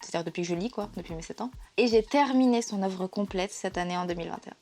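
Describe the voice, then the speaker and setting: A woman's voice: medium pitch, fast talker, darkish tone, faint music behind. Woman vlogger, casual lit explainer, hesitant with many fillers, playful. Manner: playful and emotional, laughs often. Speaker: literature video presenter.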